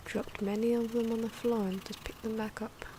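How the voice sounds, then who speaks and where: A soft-spoken, hesitant woman giving ASMR commentary on her own drawing, with pencil and marker noises. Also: on the high side, flat delivery, a little fast, background noise. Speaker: artist narrating own drawing video.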